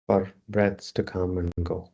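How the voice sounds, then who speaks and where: A yoga teacher with a low, husky, gravelly voice leads a guided relaxation. He speaks slowly and calmly in brief phrases, leaving the instructions simple. The man's recording is slightly dull and lo-fi. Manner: slow, calm, with spare short phrases.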